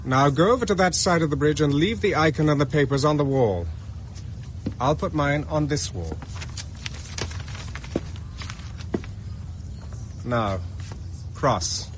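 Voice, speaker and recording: A man's voice: fairly high pitch, animated, normal speed, a little hiss or hum, lightly acted. A man with a British accent playing a resourceful, wary former army captain on the run in a radio drama. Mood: cool, guarded.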